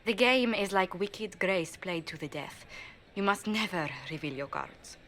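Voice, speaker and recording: An actress playing a poised court diplomat who gives measured, formal warnings in a game cutscene. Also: female voice, mid-range voice, expressive, fairly bright tone. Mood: earnest. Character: poised, careful female court diplomat, adult. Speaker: diplomatic adviser. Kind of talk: video game cutscene dialogue.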